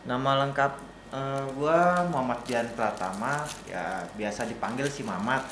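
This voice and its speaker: A young man from Brebes with a Jakarta accent tells his story calmly, with a joke now and then. His pitch is middling. The room is echoey and has clear noise behind him. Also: measured pace. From Brebes, Indonesia. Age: young adult. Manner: relaxed storytelling, joking. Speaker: guest. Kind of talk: casual chat interview.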